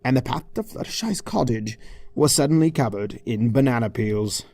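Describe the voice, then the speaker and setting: Comic audiobook narrator: arch, theatrical delivery, swooping intonation, mid pitch, dry recording. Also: very animated, normal speed, a little mellow, dry recording. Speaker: fan fiction narrator.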